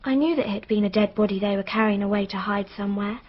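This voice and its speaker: A woman's voice: medium pitch, fairly even intonation, fast talker, natural voice. An actress playing an earnest young girl witness in a radio drama, confiding what she knows in a hesitant way. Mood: haunted recounting. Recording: dull, muffled radio drama recording.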